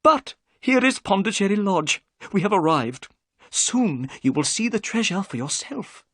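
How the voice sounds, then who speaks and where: A nervous, talkative gentleman chatters eagerly, his voice lifted high and fussy, as a narrator puts him on. The tone is breathy and lively, recorded close and dry. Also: moderate pace, no echo.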